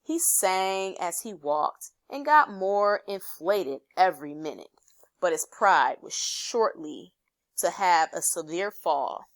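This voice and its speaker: A woman reading a children's story aloud as expressive scripted narration. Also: lowish voice, takes it slowly, dry recording. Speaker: storybook reader.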